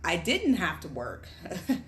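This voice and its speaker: A woman's voice: lowish voice, wildly swooping pitch, slow pace, fairly bright tone. Woman from Guyana telling her story. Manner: candid, chatty, conversational storytelling. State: pregnant with twins, on bed rest. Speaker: vlogger. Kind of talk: casual personal story to camera. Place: home, at a table among boxes.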